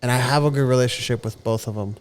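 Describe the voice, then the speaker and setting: A man in his thirties, co-hosting a podcast, talking earnestly in a relaxed chat and often repeating words as he gathers his thoughts. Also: medium pitch, quick pace. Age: 30s. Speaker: podcast co-host. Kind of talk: casual heartfelt chat.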